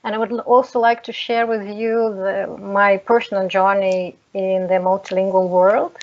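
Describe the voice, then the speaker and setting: A woman from Lithuania, a native Russian speaker, giving an online presentation in a hesitant, halting way over a dull, slightly noisy call. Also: medium pitch, fairly even intonation. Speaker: seminar presenter.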